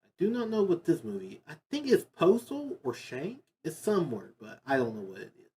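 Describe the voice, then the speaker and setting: A man's voice: on the high side, wildly swooping pitch, a little fast, darkish tone. A man giving casual, unscripted commentary as a video host, rambling and emphatic, often repeating his phrases. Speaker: video host ranking video game movies.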